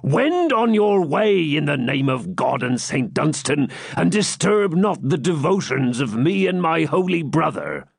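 A man voicing a boisterous, blustering friar in an audiobook, with booming, drawn-out archaic speech. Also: very animated, vocal fry, slightly rough, no room sound, studio quality, full character voice. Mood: blustering, evasive. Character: boisterous, blustering hermit friar, pious fraud and brawler. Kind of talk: audiobook character voice.

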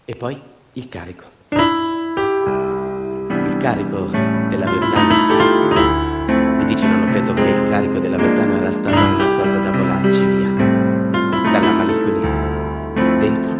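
Echoey Italian man in dramatic monologue. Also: sits low. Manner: emphatic, repetitive, swinging from hushed asides to shouts. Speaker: stage actor and monologue storyteller. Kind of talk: theatrical narrative monologue for radio theatre. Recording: roomy echo, music behind.

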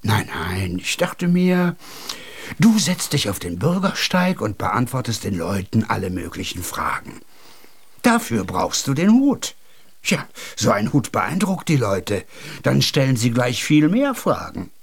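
An actor playing a whimsical, kindly junk collector in a German radio play, musing and animated with a raspy voice. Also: male voice, mid-range voice, a little slow, exaggerated voice. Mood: playful.

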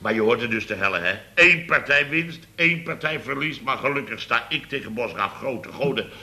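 Blustery dutch boss in old radio comedy, grumbling, super sharp voice, swings up and down. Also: male voice, mid-range voice, expressive, a little fast. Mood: exasperated. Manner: exclamatory, grumbling, tags sentences with "hè" and "zeg". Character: blustering, indignant male boss of a building firm.

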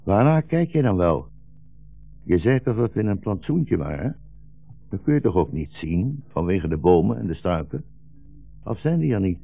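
An actor playing a weary, bitter old blind rabbi fleeing war, solemn and brooding, in a 1960s Dutch radio drama. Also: male voice, low-pitched, big pitch swings, normal speed, vocal fry, long pauses, no echo, faint music behind, exaggerated voice. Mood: anxious. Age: older. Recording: dull, muffled 1960s radio recording.

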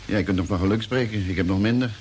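A man's voice: on the low side, rapid-fire, darkish tone, close to an everyday voice. An actor playing a grumbling, firm but good-natured spaceship crewman in a radio drama. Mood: matter-of-fact. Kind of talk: 1950s radio drama acting. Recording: old radio recording, faint hiss.

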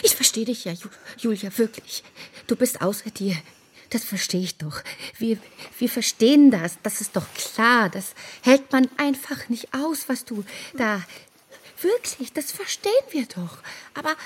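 An actress in a radio play voicing an anxious woman who reasons and pleads in a halting, insistent way. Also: fairly high pitch, animated, breathy edge. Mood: placating, halting. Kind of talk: radio drama acting.

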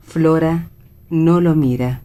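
A woman's voice: low-pitched, steady tone, takes it slowly, mellow. A woman with an Argentine accent reading stage directions as scripted narration for radio theatre.

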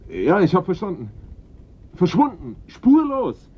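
An actor playing a chatty, wry police assistant with a theatrical delivery in a German radio play. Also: male voice, high-pitched, wildly swooping pitch, a little slow, breathy edge, heavily acted. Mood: urgent, theatrical. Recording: dull, noisy old radio recording.

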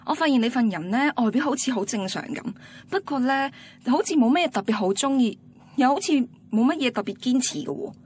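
A woman's voice: high-pitched, animated, fairly quick, a little mellow, slight background noise. An actress playing a blunt, ambitious young student girlfriend in a radio drama, matter-of-fact and dismissive, with a Hong Kong Cantonese accent. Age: young adult.

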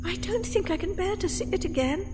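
Scared young girl, audiobook: very high, small, wailing, anxious, swooping delivery. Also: lively intonation, brisk, long reverb tail, over music. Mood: frightened, small-voiced.

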